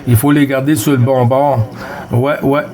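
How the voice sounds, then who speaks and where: A man's voice: mid-range voice, little pitch movement, moderate pace, warm tone. A middle-aged man with a Québécois French accent, chatting casually on CB radio with lots of fillers and restarts. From Quebec. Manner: rambling, many fillers and restarts. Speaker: CB radio operator.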